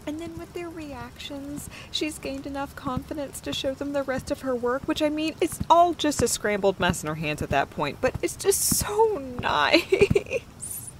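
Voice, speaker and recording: A woman playing an affectionate, playful girlfriend in an intimate audio roleplay, speaking casually and tenderly, often breathy and close to a whisper. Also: sits high, lively intonation, unhurried, background noise. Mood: chatty, animated. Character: affectionate, playful, chatty girlfriend comforting her partner.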